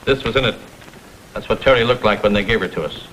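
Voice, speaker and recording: A man's voice: on the low side, fast talker, a bit bright, close to an everyday voice. An actor playing a middle-aged, self-made father in an old crime drama, fiercely protective, blunt and threatening. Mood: grave, troubled. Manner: blunt, emphatic, tough-talking. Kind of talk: vintage American television crime drama acting. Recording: faintly noisy vintage film soundtrack.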